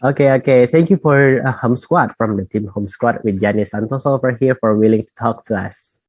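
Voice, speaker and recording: A man's voice: mid-range voice, fairly quick, no echo. Young man casually co-hosting a video call. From Indonesia. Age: young adult. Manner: casual, repeats "okay, okay". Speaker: co-host. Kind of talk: online event hosting.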